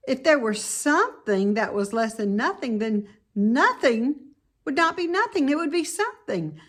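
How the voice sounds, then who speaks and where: A woman's voice: fairly high pitch, voice pushed up. A woman voicing an innocent, lonely young male pig from a children's storybook in an earnest, pleading way. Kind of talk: children's storybook read-aloud.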